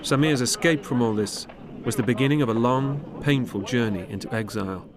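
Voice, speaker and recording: A man narrating a documentary from a script in a measured, grave manner. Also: mid-range voice, noisy background. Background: music under the voice.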